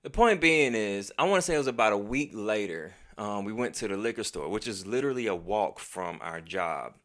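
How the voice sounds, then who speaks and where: A man's voice: lowish voice, a little fast. An American man telling a casual personal story in heavy slang and profanity, acting out bits of dialogue as he goes. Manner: profane, slangy, animated retelling. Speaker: storytelling vlogger.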